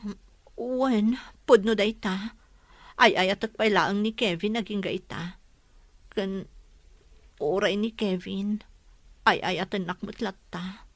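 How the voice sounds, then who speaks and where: A woman's voice: medium pitch, steady tone, slow, long pauses, no echo. A woman playing a weary, anxious poor wife and mother in an Ilocano audio drama. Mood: distressed, confiding.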